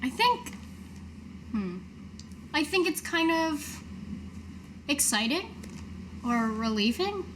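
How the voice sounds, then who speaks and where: A woman playing a world-weary but kind adventuring captain in a tabletop game, halting and understated. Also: sits high, sing-song, noisy background, pitched above the performer's own voice, heavily acted. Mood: reflective, resigned.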